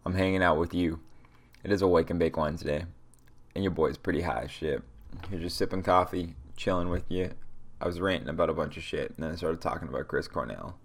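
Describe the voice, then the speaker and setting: Young guy w a deep gravelly voice, stuffed up from a cold, cussing and rambling motivational stuff. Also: fairly quick. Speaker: podcast host. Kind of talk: casual solo podcast rant.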